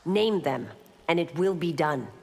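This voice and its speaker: A proud, law-bound woman constable from a fantasy game. Her voice is low and lively, her speech formal and firm, with long hall reverb around it. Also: measured pace, vocal fry, full character voice. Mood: stern. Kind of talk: video game character dialogue.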